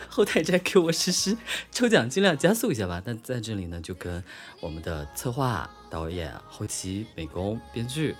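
A man co-hosting casually, effusive and quick to chime in. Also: lowish voice, very animated. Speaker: live-stream co-host. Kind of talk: casual live hosting banter. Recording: faint music behind the voice. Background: background music.

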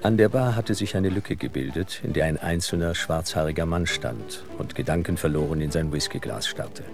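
A man's voice: very deep voice, normal speed, creaky. A man reading scripted narration for an audio drama. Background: music.

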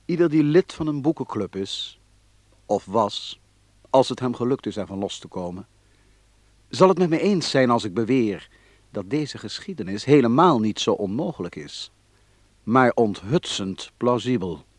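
A man's voice: medium pitch, big pitch swings, moderate pace, no room sound. A man delivering scripted narration as the narrator of a radio drama.

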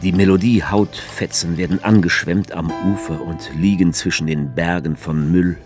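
A man plays a detached author narrating his own radio story with dry irony. His voice sits very low, with music faintly underneath. The delivery stays literary and cool. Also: fairly even intonation, normal speed, natural voice. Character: male author, ironic, detached, first-person observer.

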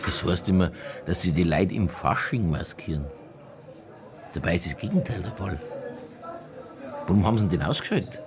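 An actor playing a dogged, blunt police detective in a radio drama, dry and probing. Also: male voice, sits low, expressive, moderate pace, pauses often, crowd noise. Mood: grumpy. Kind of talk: naturalistic radio drama acting.

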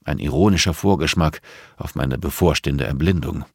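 A man's voice: extremely low pitch, normal speed, vocal fry, darkish tone, husky, no echo, studio quality. A man reading scripted prose as the narrator of an audiobook.

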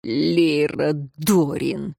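A woman's voice: medium pitch, dry recording, studio quality, natural voice. A woman playing a furious jealous male warden. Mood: low menacing. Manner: slow, growling threats.

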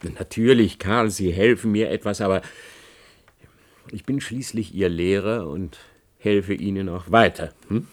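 An actor playing a condescending, defensive university professor in a German radio play, patronising and quick to take offence. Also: male voice, low-pitched. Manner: patronising, indignant.

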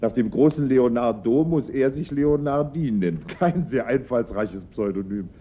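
An actor playing a skeptical but polite gallery assistant in a German radio play, with an incredulous, mocking manner. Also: male voice, animated, moderate pace, natural voice. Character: skeptical, deferential male gallery assistant, easily amused. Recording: dull radio recording with rumbling noise.

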